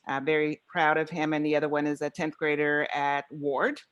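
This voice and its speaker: A mature woman of fifty or more with a low-pitched voice introduces herself at an online meeting. She speaks over a video call as a professor joining a task force. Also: little pitch movement, normal speed, smooth, muffled recording. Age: 50s or older.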